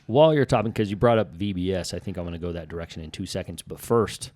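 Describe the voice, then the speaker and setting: A man's voice: sits low, fast talker, a little mellow, no echo. A man in his forties hosting a casual podcast, joking around and hedging his points as he talks. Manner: jokey, hedging, trails off and restarts. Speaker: podcast host. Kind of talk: casual chat.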